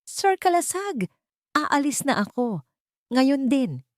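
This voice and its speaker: A woman's voice: big pitch swings, takes it slowly, dry recording, clean studio sound, heavily acted. A woman voicing a frightened, hysterical nanny who pleads to quit, in an audiobook drama. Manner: shrill, pleading, near breaking.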